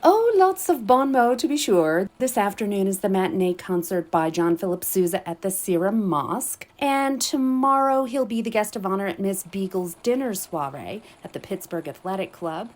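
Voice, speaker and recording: A woman's voice: medium pitch, lively intonation, moderate pace, hardly any pauses, close to an everyday voice. An actress playing a theatrical female society columnist from the 1920s who peppers her talk with French phrases. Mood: gossipy. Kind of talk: 1920s radio drama acting.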